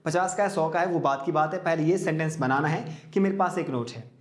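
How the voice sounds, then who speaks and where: A man teaching an English grammar class in Hindi, reading example sentences out slowly and deliberately. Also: fairly high pitch, reverberant. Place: classroom with a board.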